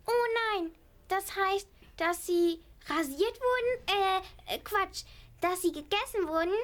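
Female player as tiny, squeaky-high little sister. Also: expressive, slow, fairly bright tone, exaggerated voice. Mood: dismayed. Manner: innocent, matter-of-fact. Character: naive little kindergarten girl, youngest sister. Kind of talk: children's radio play acting.

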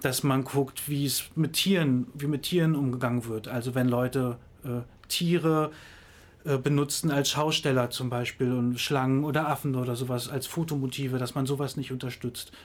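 A man's voice: medium pitch, steady tone, moderate pace. A middle-aged man, explaining things in an interview with lots of filler sounds and restarts. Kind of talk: hesitant casual explanation.

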